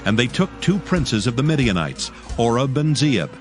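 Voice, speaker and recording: A man's voice: mid-range voice, sing-song, normal speed. Male scripture narrator over prominent music.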